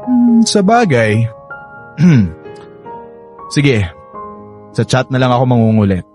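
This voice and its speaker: Young man, mid-pitched, playful charmer, coaxing, persistent, radio drama, faint music behind. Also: huge pitch swings, takes it slowly, darkish tone. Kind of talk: Filipino radio drama acting.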